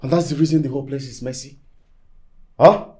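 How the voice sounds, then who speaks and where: A man's voice: medium pitch, fairly quick, darkish tone, natural voice. A man playing a frustrated, stubborn Nigerian boyfriend in a film drama, speaking with indignant emphasis. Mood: irritated.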